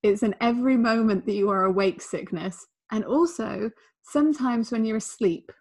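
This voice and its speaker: A young woman co-hosting a podcast in casual chat, talking freely with quick asides into a studio microphone. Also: a little high, darkish tone, husky. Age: young adult. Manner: chatty, laughs easily, quick asides. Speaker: podcast co-host.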